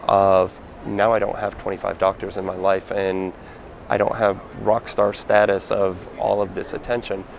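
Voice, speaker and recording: A man in his forties speaking earnestly in an interview, pausing with fillers, recorded on a handheld mic in a large convention hall. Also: deep voice, creaky, slight background noise. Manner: earnest, plain-spoken, halting with fillers. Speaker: foundation founder. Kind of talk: interview answers. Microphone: handheld mic held by interviewer.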